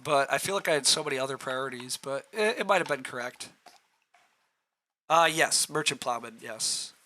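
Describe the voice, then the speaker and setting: A man with a rough, hoarse voice, streaming a board game, thinking aloud through his choices and answering chat as he clears his throat. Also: medium pitch, lively intonation, normal speed, sharp tone.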